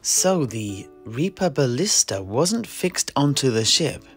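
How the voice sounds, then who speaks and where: Guy doing a bookish fantasy tavern keeper, creaky voice, unhurried, musing and kinda pedantic, audio play with faint music. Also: mid-range voice, sing-song. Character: scholarly fantasy tavern keeper and amateur historian.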